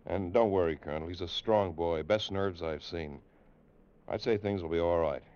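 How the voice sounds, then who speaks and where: Guy acting deep calm military shrink, reassuring and measured, old radio show, muffled recording. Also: close to an everyday voice. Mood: measured, thoughtful.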